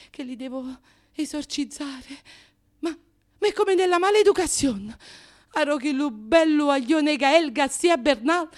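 An actress playing a lovelorn, neurotic Neapolitan woman in a theatrical radio drama monologue, confessional and histrionic, swinging between murmurs and outcries. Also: high-pitched. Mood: confiding, rueful, then exasperated.

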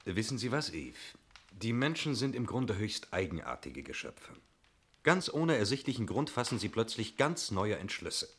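An actor in a 1960s German radio play, playing a breezy, talkative stranger who is glib, jovial and evasively polite. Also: male voice, fairly low pitch, sing-song, moderate pace, fairly bright tone, husky, exaggerated voice. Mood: glib, philosophising. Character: breezy, talkative male stranger claiming to own the chalet.

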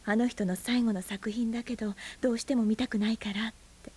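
Husky, breathy, guarded aunt. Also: female voice, on the high side, steady tone, a little fast, darkish tone. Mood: quiet, sorrowful. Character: blunt, moody aunt, guarded adult woman with buried grief. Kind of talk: naturalistic Japanese radio drama acting. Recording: 1990s FM radio drama on cassette.

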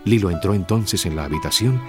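A man's voice: low-pitched, a little fast, music in the background. A man narrating a scripted children's audio story.

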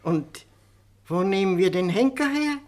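A woman's voice: mid-range voice, expressive, unhurried, a little mellow, lightly acted. A woman playing an unctuous, approving government minister in a radio play. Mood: dry. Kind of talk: German radio play acting.

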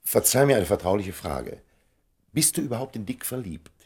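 An urbane older confidant in a radio drama. His voice is low and husky, his tone dry and gently ironic, with lively turns of intonation. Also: normal speed, heavily acted. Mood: probing, wry. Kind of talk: German radio play acting.

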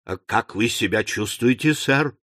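A man's voice: medium pitch, expressive, measured pace, fairly bright tone, no room sound, clean studio sound, voice pushed down. A man voicing a courteous, obliging footman who speaks politely to a guest. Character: polite, fussy male footman.

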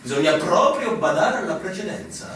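In a very reverberant, distant recording, a senior army commander for a radio play. His high-pitched voice swings widely as he talks down to his junior with pompous, slippery formality. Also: sing-song, moderate pace, a bit bright. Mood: evasive, dismissive. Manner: theatrical, condescending, formal. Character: pompous, evasive senior military commander, male, older. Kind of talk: stage-style radio drama acting. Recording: very echoey, distant, faint noise.

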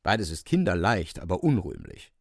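An actor narrating a satirical story for a radio play in an ironic, theatrical, emphatic manner. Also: male voice, low voice, sing-song, moderate pace, a little mellow, dry recording, studio quality, heavily acted. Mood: wry, ironic. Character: wry, theatrical male storyteller of satirical novel.

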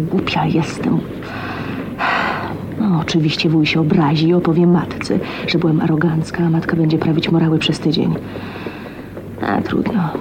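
An actress playing a resigned, bookish young woman thinking aloud in a halting radio drama monologue. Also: low-pitched, close to an everyday voice. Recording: noisy radio play recording.

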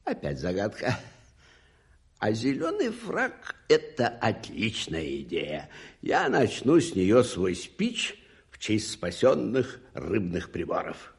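An actor playing a pompous, slow-witted, self-pleased English duke in a radio play, halting and musing aloud. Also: male voice, lowish voice, wildly swooping pitch, full character voice. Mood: puzzled, amused. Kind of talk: Soviet radio play acting.

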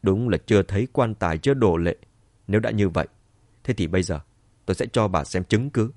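A man's voice: fairly low pitch, brisk, a little mellow, no room sound, natural voice. A man voicing a cold, scornful man in an audiobook, sneering and controlled as he accuses.

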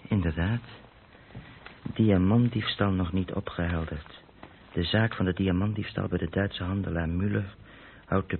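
A man's voice: sits low, creaky. An actor with a Netherlands Dutch accent playing a haulage company boss who thinks aloud alone in his office, in a radio play. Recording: muffled, noisy old radio play recording.

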